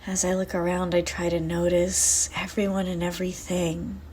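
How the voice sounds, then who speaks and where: A woman leading a guided visualization in a soft, breathy, soothing voice, leaving long pauses between phrases. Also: on the low side, monotone, a little hiss or hum. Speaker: guided meditation host. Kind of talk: guided visualization meditation, first-person.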